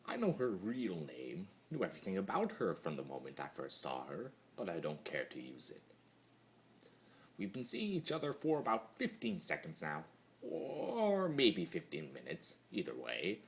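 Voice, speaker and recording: Guy doing a bitter sarcastic cartoon chaos creature, lowish voice, swings all over the place, super theatrical. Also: slight background noise, exaggerated voice. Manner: theatrical, sarcastic, swings between mutters and mocking outbursts. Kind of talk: dramatic monologue reading of fan fiction.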